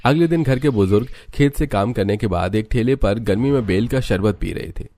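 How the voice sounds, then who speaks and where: A man's voice: on the low side, brisk, dark tone. A man narrating a scripted cartoon village story as its storyteller.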